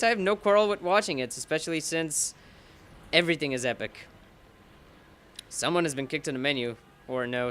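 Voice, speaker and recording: A man's voice: on the high side, fairly bright tone, clean tone. A young man from Bulgaria, rambling and cursing casually through off-topic commentary while playing a racing game. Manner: rambling and profane, curses at the game. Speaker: let's play commentator.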